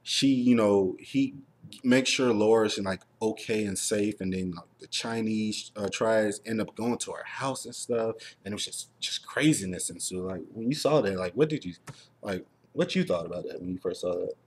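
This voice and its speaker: A man with a fairly low voice hosts a casual review chat. He rambles enthusiastically, looping through filler words as he shares his opinions. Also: moderate pace, breathy edge. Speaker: host of a TV review show.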